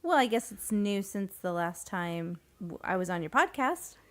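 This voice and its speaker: Female podcast co-host, tired, wry, quick to laugh, mid-range voice. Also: medium pitch, very animated, fairly bright tone. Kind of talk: casual banter.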